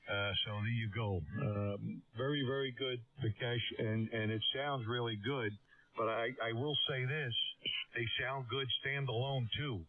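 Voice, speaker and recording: A man's voice: medium pitch, steady tone, a little slow. A man on a ham radio net, chatting casually and repeating words. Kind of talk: casual on-air radio chat. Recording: distant tinny radio audio with faint static.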